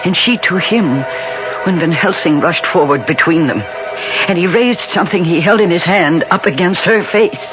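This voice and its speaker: A woman's voice: fairly low pitch, normal speed, over music, lightly acted. A woman playing an earnest, fearful young woman who witnesses and recounts horror in a solemn, dramatic old-time radio style. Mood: horrified recounting. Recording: dull old radio recording.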